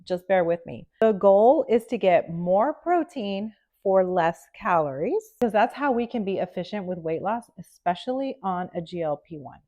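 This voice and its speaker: A woman's voice: medium pitch, animated, moderate pace, few pauses, mellow, highs cut off. A woman nutritionist vlogging to camera, upbeat and chatty, with a nasal sound from a cold, checking in with "okay?". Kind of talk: direct-to-camera health vlog.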